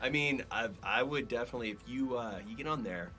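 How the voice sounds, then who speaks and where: A man's voice: medium pitch, quick pace. A man bantering casually as a podcast co-host, with a playful, teasing, joking manner.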